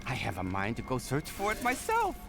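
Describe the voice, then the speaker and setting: A flamboyant, adventurous merchant of gems in a fantasy game. His voice leaps and dips wildly as he chats, genial and theatrical, with music clearly playing behind him. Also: mid-range voice, wildly swooping pitch, brisk. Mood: playful, adventurous. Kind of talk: video game character dialogue.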